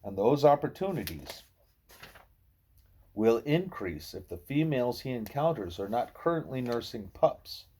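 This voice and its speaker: A man reading a novel of dialogue aloud in a soft, husky voice, with a measured, explanatory and expressive delivery. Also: mid-range voice, slight background noise. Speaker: audiobook reader. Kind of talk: scripted narration of a novel in dialogue.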